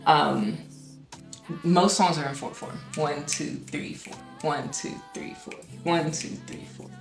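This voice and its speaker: A young woman, a singer-songwriter, casually explains her own song with many ums and restarts and now and then sings a note; her voice is low. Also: reverberant. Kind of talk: casual music breakdown.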